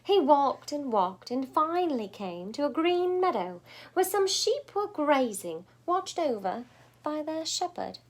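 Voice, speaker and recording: A woman's voice: high-pitched, very animated, a little slow. A woman reading a children's story aloud, expressively and with chatty little asides. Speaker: storybook reader.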